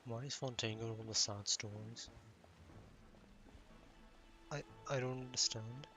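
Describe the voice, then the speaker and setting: A man's voice: medium pitch, steady tone, a little slow, sharp tone. A man streaming a game and reacting to it in a casual, conversational way. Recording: game music under the voice.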